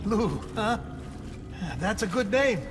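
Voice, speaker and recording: Casting: timid middle-aged oddball in a game drama, highish swooping voice, fretful, wordy, theatrical. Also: male voice, expressive, slow, loud music behind the voice. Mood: tender, reflective. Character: nervous, lonely, eccentric middle-aged man, timid and confiding. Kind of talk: dramatic video game cutscene acting.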